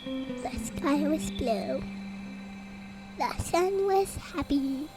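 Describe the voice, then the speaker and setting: A man voicing a small, relentlessly cheerful and helpful mouse in a children's storybook, with a thin squeaky voice and an eager, polite manner. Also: lively intonation, very slow, over loud music, exaggerated voice, low-quality recording. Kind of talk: children's storybook read-aloud.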